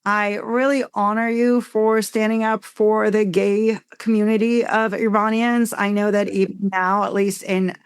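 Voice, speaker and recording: A woman's voice: medium pitch, flat delivery, unhurried, few pauses, clean tone, no room sound. A woman from Iran, a podcast guest, speaking openly and with emphatic feeling. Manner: open, heartfelt and emphatic. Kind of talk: podcast interview.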